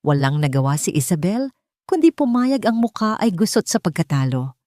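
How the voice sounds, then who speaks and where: A woman's voice: fairly low pitch, big pitch swings, moderate pace, dark tone, no room sound, studio quality. A woman reading a scripted, dramatic romance audiobook as its narrator.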